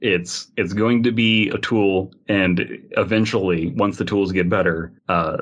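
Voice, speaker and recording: A man's voice: sits low, steady tone, measured pace, lo-fi sound. A man co-hosting a podcast in casual, joking chat, with a rambling, wry way of talking. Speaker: podcast co-host.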